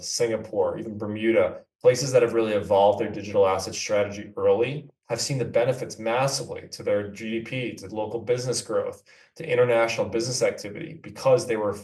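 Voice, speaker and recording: A man's voice: lowish voice, darkish tone. A man from New York City, a company co-founder, explaining at length in a businesslike podcast interview. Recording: echoey room, far from mic.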